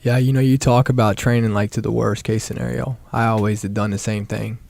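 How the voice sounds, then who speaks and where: A man with a gravelly voice, recounting combat in an interview as a veteran, emphatic and repeating himself. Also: on the low side, little pitch movement, a little fast, darkish tone. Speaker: war veteran being interviewed.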